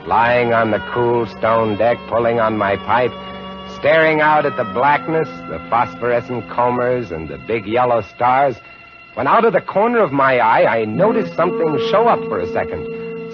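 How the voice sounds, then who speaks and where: An actor narrating as a lighthouse keeper with vivid, dramatic storytelling in a 1950 radio drama. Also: male voice, medium pitch, sing-song, measured pace. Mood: tense. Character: lighthouse keeper recounting a horror, male, adult. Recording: dull old radio broadcast with music.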